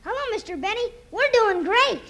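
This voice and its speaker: A woman's voice: extremely high pitch, lively intonation, normal speed. A woman playing an eager young boy who hawks snacks in a 1950s radio comedy. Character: young boy hawking peanuts and popcorn. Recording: noisy old radio recording.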